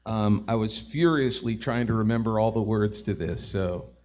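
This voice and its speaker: A man who is a singer-songwriter, talking casually and haltingly between songs. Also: fairly low pitch. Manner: hesitant, halting delivery. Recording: loud acoustic guitar behind. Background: guitar playing.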